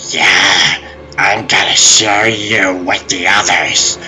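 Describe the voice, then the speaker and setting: A menacing monster, hungry for flesh. The voice is low, very slow and piercingly sharp, gloating in a sing-song manner. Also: male voice, steady tone, faint music behind, full character voice. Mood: hungry menace. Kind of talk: comic dub voice acting.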